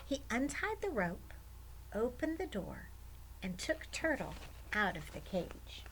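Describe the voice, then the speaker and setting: A woman's voice: fairly high pitch, sing-song, slow pace, fairly bright tone, a little hiss or hum, rough recording. A woman storyteller reading expressively to children, with chatty asides.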